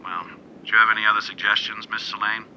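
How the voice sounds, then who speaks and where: An actor playing a shrewd, unflappable American defense lawyer in a radio drama, with a clipped, questioning manner. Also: male voice, lowish voice, little pitch movement, quick pace, pushed and bright, highs cut off, slight background noise. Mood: measured, probing. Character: shrewd, cool male criminal defense lawyer.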